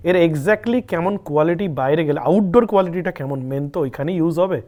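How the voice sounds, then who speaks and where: A man's voice: fairly high pitch, quick pace, warm tone, dry recording. A man giving a casual product review as a vlogger, explaining things straight to viewers and mixing in English words. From India. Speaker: vlogger, host.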